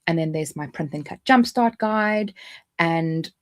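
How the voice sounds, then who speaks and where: A woman's voice: low-pitched, animated, measured pace. A woman with a South African accent hosting a casual livestream, chatty and jumping between points with frequent restarts. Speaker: livestream host.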